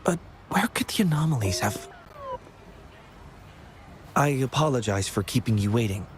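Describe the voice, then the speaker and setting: A man playing a gentle, modest young scientist in a video game, speaking in a polite, measured, reassuring way. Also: medium pitch, animated, a little hiss or hum, lightly acted. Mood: calm, focused. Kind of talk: video game character acting.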